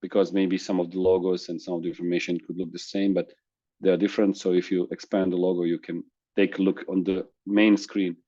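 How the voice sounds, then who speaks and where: A man whose first language is Croatian, presenting a software demo in a webinar, halting with restarts and fillers over a video call. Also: low-pitched, little pitch movement, no echo, muffled recording. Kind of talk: screen-share software demo.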